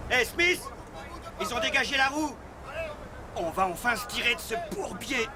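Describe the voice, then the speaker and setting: A man's voice: extremely high pitch, lively intonation, normal speed, crowd noise. A man playing a blunt, bitter, slangy rank-and-file soldier in a French-dubbed war film. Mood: excited.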